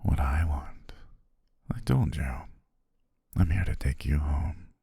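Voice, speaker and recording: A man playing a possessive shapeshifting naga who poses as a devoted servant, speaking gently and formally in an intimate ASMR roleplay, husky, breathy and often whispering. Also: a bass voice, lively intonation, no echo, clean studio sound, heavily acted. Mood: tender, possessive, coaxing. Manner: slow, gentle, formal, coaxing.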